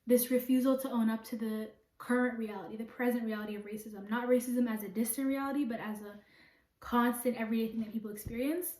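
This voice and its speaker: A woman's voice: a little high, steady tone, fast talker, darkish tone. A young woman with a Canadian accent giving a personal testimony as a community project participant. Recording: roomy echo, distant microphone.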